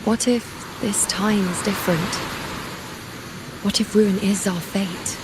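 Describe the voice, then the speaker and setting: A woman playing a sharp-tongued, proud young priestess in a video game, wry and dramatic in her delivery. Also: mid-range voice, fairly even intonation, takes it slowly, very noisy, close to an everyday voice. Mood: uneasy.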